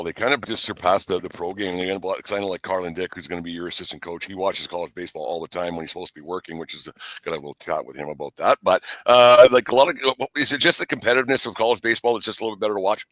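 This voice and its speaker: A man hosting a sports podcast in casual chat. His voice is bright and forceful, recorded dry and close, and he talks quickly. He teases and jokes, often rambling through his questions. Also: low voice, no room sound. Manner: joking, teasing, rambling.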